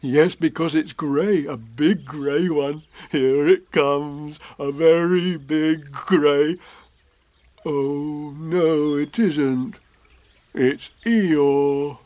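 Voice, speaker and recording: Small storybook bear, voiced by a man: muddled, hesitant, good-natured, very leisurely delivery, slightly high male pitch. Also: darkish tone, no echo. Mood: absorbed. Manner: slow, earnest, muddled. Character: kindly, slow-witted, hesitant little male bear. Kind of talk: children's storybook character reading.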